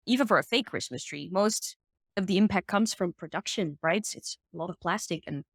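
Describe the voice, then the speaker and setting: A woman's voice: lowish voice, a little fast, no echo. Woman, expert guest, informal and upbeat explainer, conversational, thinks aloud, dry recording. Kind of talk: casual explanatory discussion.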